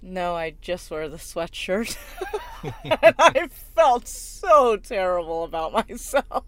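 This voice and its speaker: A middle-aged woman with a low-set voice co-hosts a podcast in a relaxed, chatty way. She rambles through a personal story, full of fillers and false starts, and laughs easily. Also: slow. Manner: rambling, laughs often, many fillers and restarts. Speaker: podcast co-host. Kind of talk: casual storytelling chat.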